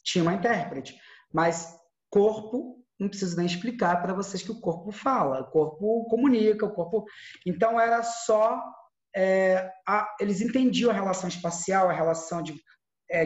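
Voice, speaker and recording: A man's voice: high voice, normal speed. A man teaching an acting class over a video call, explaining with examples and many restarts. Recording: dull echoey sound.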